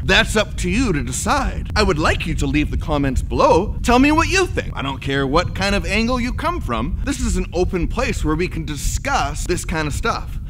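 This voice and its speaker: A man's voice: high voice, poor audio. A man in his thirties giving an earnest, encouraging motivational talk to camera as a vlogger. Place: outdoors on a snowy open prairie and inside a car. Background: background music.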